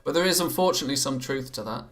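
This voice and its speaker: A man's voice: medium pitch, lively intonation, very fast, fairly bright tone. A British man chatting casually on a live stream from home. He reads out viewer comments and answers them, often stammering and restarting, and laughs easily. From Britain. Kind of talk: casual live-stream chat while gaming.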